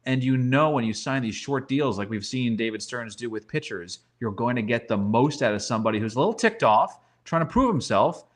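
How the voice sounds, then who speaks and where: Male guest sports analyst, medium pitch, conversational, explains by voicing imagined dialogue. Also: lively intonation, fairly quick, few pauses.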